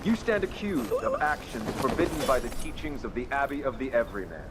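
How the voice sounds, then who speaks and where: A man's voice: mid-range voice, huge pitch swings, a little fast, background noise. A man playing a stern religious overseer in a video game, speaking formally and declaiming like a judge. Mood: cold, condemning. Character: stern male religious overseer passing sentence. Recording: loud game soundtrack behind voice.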